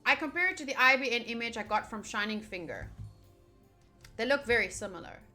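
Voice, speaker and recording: A young woman plays through a visual novel, reading aloud and adding casual, playful asides. Her voice is a little high. Soft game music sits behind her. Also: lively intonation, a little fast. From United States. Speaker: game streamer.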